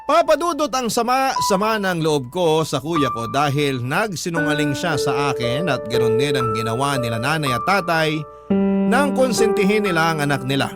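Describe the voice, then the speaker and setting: A man's voice: on the high side, big pitch swings, normal speed. A man with a Filipino accent hosting a radio story program, reading a letter as dramatic scripted narration. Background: prominent music.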